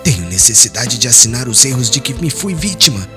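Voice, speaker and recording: A man voicing a stern, wounded old Hebrew father in an audiobook, stubborn and solemn, with forceful, indignant oratory. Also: medium pitch, big pitch swings, brisk, music in the background, close to an everyday voice. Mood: solemn resolve. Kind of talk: dramatized audiobook reading.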